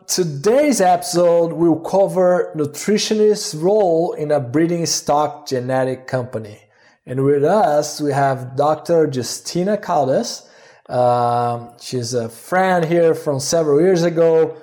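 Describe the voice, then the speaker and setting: A man's voice: on the high side, takes it slowly, dark tone. A man hosting an interview in a casual, loosely phrased, conversational way. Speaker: podcast host, interviewer.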